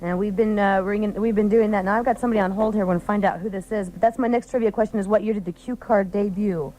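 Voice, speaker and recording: A woman's voice: mid-range voice, fairly even intonation, quick pace, dark tone, rough recording. An American woman hosting a live call-in radio show, chatting informally from a studio. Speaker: radio host.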